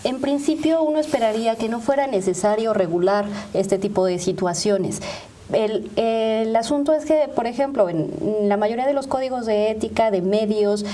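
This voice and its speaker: A woman's voice: on the high side, animated, moderate pace, warm tone. A woman with a Mexican accent explaining points didactically as a guest in a panel discussion, arguing through examples. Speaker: panel guest, media ethics expert.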